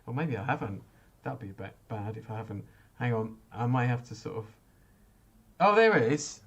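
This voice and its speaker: A man's voice: medium pitch, big pitch swings, moderate pace, slightly rough, lo-fi sound. Male chat host, mid-range voice, informal, thinks aloud and keeps breaking off his sentences. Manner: self-interrupting, thinking aloud. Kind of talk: casual chat.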